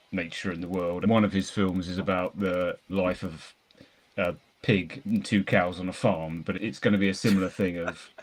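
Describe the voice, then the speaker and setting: Low-pitched male podcast co-host, rambling, enthusiastic film chat. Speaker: podcast co-host reviewing films. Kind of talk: casual film discussion.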